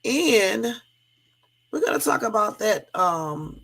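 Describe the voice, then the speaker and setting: A woman hosting a casual livestream, hoarse from a cold, chatty and often checking in with her listeners. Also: mid-range voice, sing-song, slow pace, a bit bright. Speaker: livestream host.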